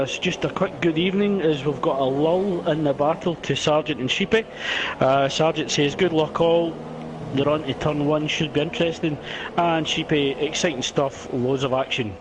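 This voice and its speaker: A male sim racing commentator with a slightly high, strained voice that is starting to go hoarse. He calls the play-by-play quickly and lifts his voice when the battles heat up. Steady noise sits under the recording. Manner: fast play-by-play, rising excitement in battles. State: losing his voice. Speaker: lead race commentator.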